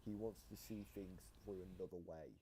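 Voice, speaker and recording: Deep-voiced man explaining, with noisy background. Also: moderate pace, mellow. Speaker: video commentator explaining game voice lines. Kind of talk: informal explanatory commentary.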